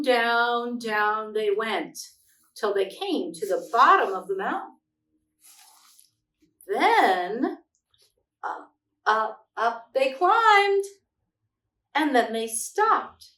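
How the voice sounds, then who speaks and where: Middle-aged female children's read-aloud host, high voice, unhurried delivery, expressive and simple phrasing, recorded at a distance in an echoey room. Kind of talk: picture book read-aloud and gentle talk to young children.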